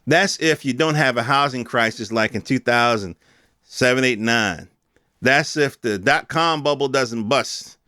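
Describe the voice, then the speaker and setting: A man's voice: mid-range voice, measured pace, sharp tone, no room sound, clean studio sound. A middle-aged American man hosting a podcast, talking in a casual, rambling, streetwise and opinionated way. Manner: informal, streetwise, opinionated, emphatic with swearing. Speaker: podcast host. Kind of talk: casual rambling monologue commentary.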